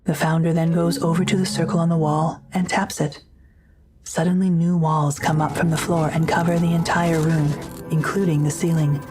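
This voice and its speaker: Low, even-toned female narrator. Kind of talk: scripted audio drama narration, present tense.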